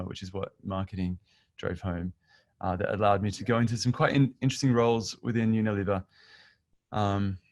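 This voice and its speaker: Middle-aged aussie guy, lowish voice, chatty presenter telling his own story, very little room sound. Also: no echo. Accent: Australian. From Australia. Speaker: guest speaker, business founder and session lead.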